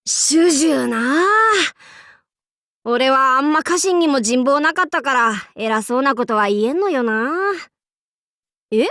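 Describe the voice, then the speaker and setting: A woman's voice: high voice, slow, long pauses, a bit bright, dry recording, studio quality, exaggerated voice. A woman voicing a boisterous female demon king warlord in a Japanese anime game, speaking in a haughty, archaic, theatrical way. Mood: teasing. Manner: haughty old-fashioned lordly speech, theatrical battle cries.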